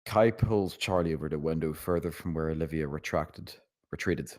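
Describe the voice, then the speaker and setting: Deep male voice, screenplay narration, stage directions read aloud. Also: moderate pace, darkish tone.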